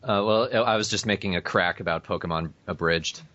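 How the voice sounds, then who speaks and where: A man's voice: low-pitched, brisk, bright, forceful tone. A man hosting a podcast in casual chat with a dry, blunt manner, recorded on a dull lo-fi voice chat. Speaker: podcast host.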